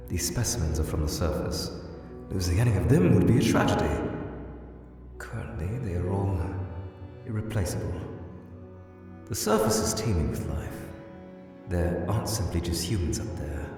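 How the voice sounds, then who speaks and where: A man's voice: low voice, close to an everyday voice. A man voicing an eccentric, brooding older skeleton scientist in a comic dub, formal and theatrical in delivery. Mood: subdued, wistful. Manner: theatrical, formal, articulate, swinging from agitated to measured. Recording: echoey hall-like reverb, distant, faint music.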